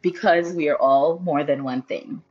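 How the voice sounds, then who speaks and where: A woman from the Democratic Republic of the Congo co-hosting a podcast, introducing her guest in a playful, expressive way with slangy asides. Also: low voice, a little slow, soft background music. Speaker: podcast co-host. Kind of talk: casual podcast interview. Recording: dull lo-fi sound.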